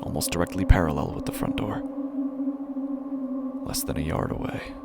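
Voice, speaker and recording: A man performing first-person horror narration as an uneasy city dweller, in hushed, deliberate, short phrases. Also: low voice, huge pitch swings, over loud music. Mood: tense, subdued. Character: uneasy city dweller recounting a creepy homecoming. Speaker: narrator of a horror fiction podcast.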